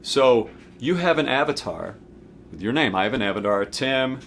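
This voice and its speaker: A man's voice: mid-range voice, animated, moderate pace, vocal fry, a bit bright, slight background noise. A man in his forties gives an informal spiritual teaching talk to camera, conversational and emphatic, checking in with the listener as he goes. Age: 40s. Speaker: host of a spiritual self-help video series.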